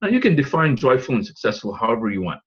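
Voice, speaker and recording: Husky-voiced man pitching a business. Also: sing-song, fast talker, fairly bright tone, dull audio. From Singapore. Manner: rambling with fillers and restarts, chuckling asides. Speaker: presenter. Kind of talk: webinar pitch talk.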